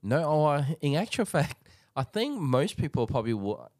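A man's voice: fairly high pitch, very animated, normal speed, a little mellow, no echo, clean studio sound. A young man from Taiwan chatting on a podcast in a thoughtful, rambling way with lots of hedges. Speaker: podcast co-host. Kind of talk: casual chat.

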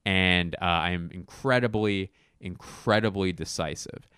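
A young man talks in a deep, smooth, resonant voice, recorded close and dry. He rambles through a casual chat with quick asides and restarts. His tone is self-mocking yet sure of himself. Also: bright, forceful tone, no echo.

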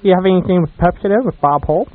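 Guy hosting old radio nostalgia chat, highish voice, stop and start delivery, narrow phone sound. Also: fast. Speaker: radio show host. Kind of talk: casual radio chat about old-time radio.

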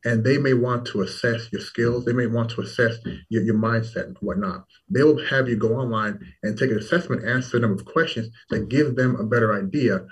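A man's voice: mid-range voice, fairly quick, hardly any pauses, slightly rough. Guy guest chatting away, repeats himself for emphasis, sounds kinda far from the mic. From Toronto, then Louisville, Kentucky and Washington DC. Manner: talkative, repeats words for emphasis. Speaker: startup founder as guest. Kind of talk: casual interview chat.

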